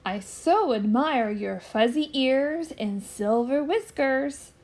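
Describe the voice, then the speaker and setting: A woman reading aloud, voicing a gentle, polite male unicorn who shares secrets in a hushed voice. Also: high-pitched, animated, takes it slowly, full character voice. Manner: polite, drops to a whisper for secrets. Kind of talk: storybook character voice.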